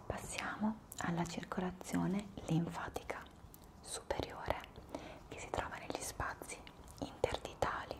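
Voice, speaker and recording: A young woman in an ASMR roleplay as a palm reflexology therapist, whispering breathily with polite, soothing, unhurried instructions. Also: fairly low pitch, fairly even intonation, gravelly. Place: small indoor room at a table. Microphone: lavalier microphones close by.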